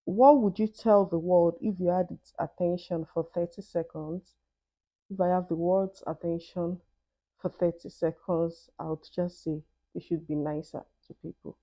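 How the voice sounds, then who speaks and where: A young woman with a Nigerian accent talks through questions one by one in an easy, reflective way. Her voice sits low and mellow. The recording is dry and close. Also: steady tone, normal speed, no echo, low-quality recording. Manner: thoughtful, conversational, with "I mean" asides. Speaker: vlogger. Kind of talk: Q&A vlog, reading questions and answering.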